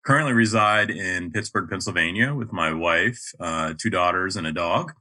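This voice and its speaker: Low bright-voiced American man, measured. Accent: neutral mid-Atlantic American. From North Carolina, USA. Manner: measured, many ums and uhs. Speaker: podcast guest, project manager. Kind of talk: casual interview talk.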